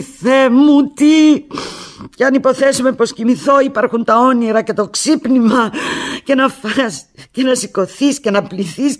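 Radio play lead: heartbroken woman clinging to her lover by phone, husky, intense, fragmented delivery. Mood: anguished, pleading. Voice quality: low, husky. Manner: intense, fragmented, swinging from hushed to anguished. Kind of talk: radio drama monologue, one side of a phone call.

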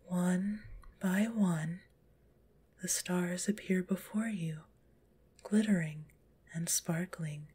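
A woman guides a relaxation in a very breathy whisper. Her delivery is slow and gentle, pitched on the low side. She sounds a little stuffed up in the nose, soothing throughout. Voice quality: stuffy-nosed. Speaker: guided visualization narrator.

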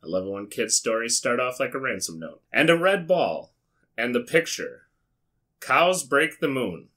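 An American man with a middling pitch and a sharp, bright voice hosts a comedic reading. He moves between reciting and casual commentary, which is deadpan, wry and peppered with swearing. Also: normal speed. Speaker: YouTube host. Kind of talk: comedic read-aloud with casual asides. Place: small indoor studio with foam panels.

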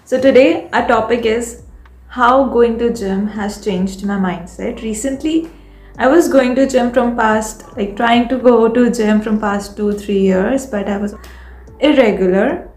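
A woman's voice: on the high side, measured pace, darkish tone. Young woman vlogger, casual filler-heavy talk, phone mic in an echoey room. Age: young adult. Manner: chatty, rambling, many fillers and restarts. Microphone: phone camera on a table. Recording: echoey room with faint background music. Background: faint background music.

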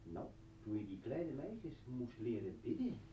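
Bitter drunk poet in an old radio play, taunting, swings up and down, roomy sound. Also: male voice, mid-range voice, big pitch swings, measured pace, warm tone. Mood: jeering. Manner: mocking, sardonic, theatrical. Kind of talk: Dutch radio play acting. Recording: echoey, distant, dull, faintly noisy radio recording.

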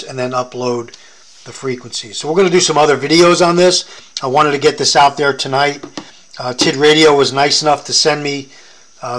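A man's voice: medium pitch, fairly bright tone. An American man demonstrating a product in a casual hands-on tutorial, explaining informally step by step.

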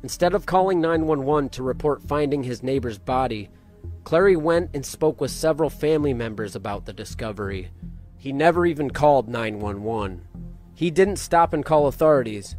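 A man narrating a true-crime case in a steady, matter-of-fact way. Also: medium pitch, moderate pace, soft background music.

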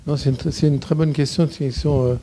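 A man in his sixties, a guest cinematographer, answering questions thoughtfully and haltingly, often restarting as he searches for words, speaking into a handheld mic in a small indoor room. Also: fairly high pitch, fairly even intonation, noisy background. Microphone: handheld mic, standing.

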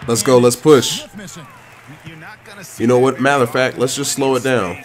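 A man giving excited, slangy commentary on his own video game play, full of playful trash talk. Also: mid-range voice, moderate pace, some chatter behind.

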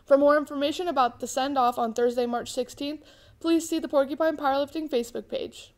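A young female co-anchor reads school news from a small studio desk. Her voice is high, and she reads the lists of names and dates at a steady, even pace. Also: a little fast. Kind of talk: school morning news broadcast. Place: indoor studio before green screen.

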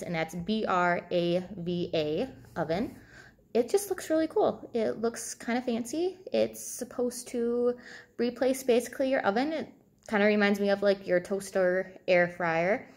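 A woman's voice: mid-range voice, a little slow. A woman in her thirties giving informal advice to camera as a coach, conversational and thinking aloud as she goes. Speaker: fitness and nutrition coach. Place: indoors, small room at home, seated at a table.

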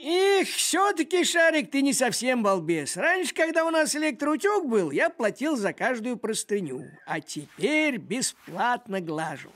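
A man voicing a thrifty, grumbling male cat with sardonic practicality in an animated cartoon. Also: very high-pitched, a little slow, hardly any pauses, a little hiss or hum, exaggerated voice. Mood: smug.

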